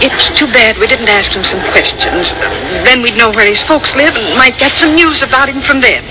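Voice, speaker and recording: Actress playing a high-voiced, motherly radio drama friend. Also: moderate pace, noisy background, lightly acted. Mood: sympathetic, soothing. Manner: gentle, solicitous. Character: kindly, motherly older woman friend, gently consoling. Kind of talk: 1940s radio drama acting.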